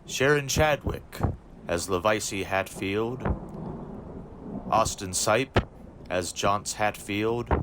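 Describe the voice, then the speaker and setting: A man giving a formal, scripted announcement as he reads out credits in a measured way. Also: on the low side. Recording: clear background noise with clicks.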